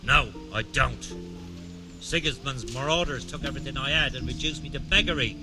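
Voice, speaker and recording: An actor playing a wily, aggrieved beggar and pickpocket in a video game, plaintive and streetwise. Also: male voice, medium pitch, moderate pace, over music, close to an everyday voice. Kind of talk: video game dialogue acting.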